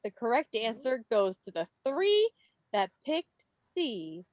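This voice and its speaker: A woman with a high voice hosts a quiz over a video call. She takes her time, lingering on names and answers. Her delivery is playful and expressive, mixing read questions with chatty asides. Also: slow pace, no echo. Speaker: quiz host at a club meeting. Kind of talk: animated quiz reading with casual asides. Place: online video meeting. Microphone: video call microphone.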